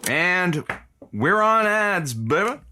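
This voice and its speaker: A male radio producer in an audio comedy, with a very lively voice pitched a little high for a man's comfort when excited. He is earnest and eager, anxious underneath and plainly smitten. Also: slow pace, soft background music, full character voice. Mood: cheerful. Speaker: radio show producer and sidekick. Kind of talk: scripted comedy audio drama.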